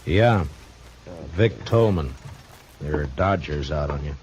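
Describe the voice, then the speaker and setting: An actor playing a cool, unflinching marshal in a radio western, terse and dry with an American accent. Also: male voice, very deep voice, animated, takes it slowly, darkish tone, dull audio, exaggerated voice. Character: cool, steely, unflinching Old West marshal. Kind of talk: old-time radio western acting. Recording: noisy vintage radio recording.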